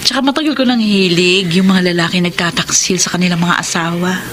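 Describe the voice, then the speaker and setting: A woman's voice: on the low side, steady tone, a little fast, noisy background, natural voice. An actress playing a secretive, conflicted woman pushing away an ex-lover in a Filipino radio drama. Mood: defiant, dismissive. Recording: music under the voice.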